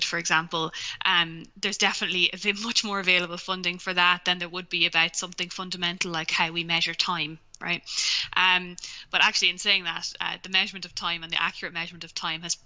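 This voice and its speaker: A woman physicist in her forties answers on a headset over a video call. Her voice sits a little low but sounds very bright and sharp. She explains at length and checks in with "right?". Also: smooth. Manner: explanatory, thoughtful, tags with "right?". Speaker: physicist guest. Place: home room, indoors.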